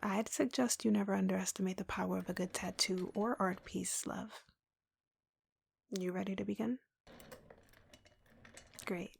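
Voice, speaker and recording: A woman voicing a lovesick, obsessive tattoo artist in an intimate roleplay audio, softly and breathily, in a slow, confiding way. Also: mid-range voice, steady tone, a little hiss or hum. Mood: calm, intimate. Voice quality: soft, breathy, whispery. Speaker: roleplay voice performer.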